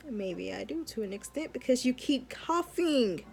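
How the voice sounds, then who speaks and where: A woman's voice: a little high, expressive, unhurried, creaky, a little hiss or hum. A young adult woman, a law student, chatting informally and giving advice in a casual vlog with emphatic repetition.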